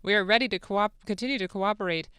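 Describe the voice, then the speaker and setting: Producer acting out an eager deferential president, clear forceful voice, emphatic, flattering, dry studio dramatic reading. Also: mid-range voice, fast talker, no echo, natural voice. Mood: agreeable. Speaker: radio producer reading a part. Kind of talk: dramatic reading of a phone-call transcript.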